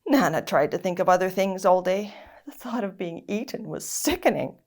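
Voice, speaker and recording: A woman reading a children's story aloud in an expressive, dramatic narrating style. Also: on the low side, normal speed. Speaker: story reader.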